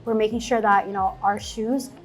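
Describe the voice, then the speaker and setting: A woman's voice: medium pitch, fairly even intonation, moderate pace, soft background music. A young woman from Ethiopia, a shoe brand co-founder, answering interview questions hesitantly. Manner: hesitant, many "you know" fillers.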